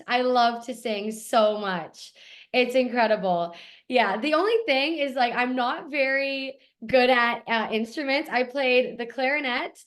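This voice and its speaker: Raspy young woman, kinda high voice, gushing about stuff she loves. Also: fairly bright tone. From Toronto, Canada. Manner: eager, gushing, repeats how much she loves things. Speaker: guest actor. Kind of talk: casual interview.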